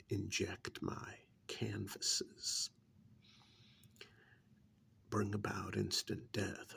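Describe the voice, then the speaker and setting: A man playing a cold, sadistic serial killer in a soft-spoken ASMR role-play, speaking slowly and menacingly in a breathy, gravelly whisper with deliberate pauses. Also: on the low side, exaggerated voice.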